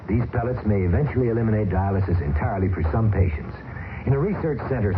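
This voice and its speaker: A man reading a charity appeal on the radio, earnest and steady. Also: low-pitched. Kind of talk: scripted radio public service announcement. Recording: tinny, hissy old radio broadcast.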